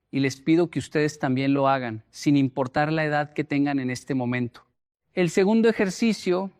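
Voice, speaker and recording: A man speaking as a motivational speaker, deliberate and emphatic, repeating phrases for effect as he explains and tells stories. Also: on the high side. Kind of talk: motivational talk with storytelling.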